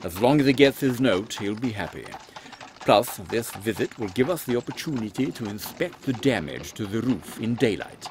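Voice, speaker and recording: A man playing a pompous British army captain in a comic Victorian audio drama, gentlemanly and blustering. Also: fairly low pitch, expressive, normal speed, a little hiss or hum. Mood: breezy, unruffled.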